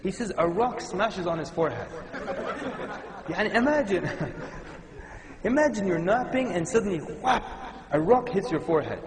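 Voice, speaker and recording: Middle-aged Sudan-born preacher, animated and funny storyteller, echoey lecture hall. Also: male voice, high voice, unhurried, pauses often, crowd noise. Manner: lively storyteller, mixes in Arabic words. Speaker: Islamic lecturer. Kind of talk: humorous religious lecture. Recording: echoey hall with long reverb.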